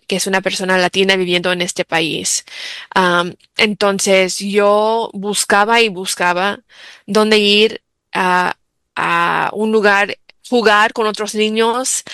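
Bright, crisp-voiced bilingual woman guest. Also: mid-range voice, no room sound. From United States. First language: English. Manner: reflective, many fillers and restarts. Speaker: interview guest, bilingual mother. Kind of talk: interview answers.

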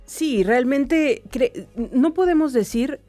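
A woman talks through music history on a casual podcast. She tells it with lively energy and plenty of filler words. Faint music plays underneath. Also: high-pitched, slow pace. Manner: animated, storytelling with many "o sea" fillers. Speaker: podcast co-host.

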